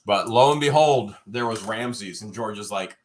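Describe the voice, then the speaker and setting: A man co-hosting a casual livestream, telling stories in an animated, joking way, his voice a little worn from overuse. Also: on the low side, normal speed, a little hiss or hum. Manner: animated, jokey, quotes people in his stories. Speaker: co-host of a livestream. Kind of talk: casual storytelling chat.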